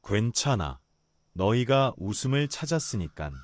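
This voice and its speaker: A man voicing a gentle young storybook tiger. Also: very animated, measured pace, no room sound. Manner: low, quiet and earnest. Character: gentle young male tiger, kind and calm. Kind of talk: storybook voice acting.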